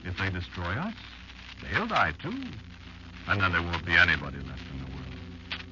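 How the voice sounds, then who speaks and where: Guy acting as grave old bug elder, real low voice, big dramatic pauses, muffled noisy old radio show. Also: wildly swooping pitch. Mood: patient, insistent. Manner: slow, deliberate, portentous storytelling with dramatic pauses. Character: elderly insect elder, grave and bitter, lecturing a child.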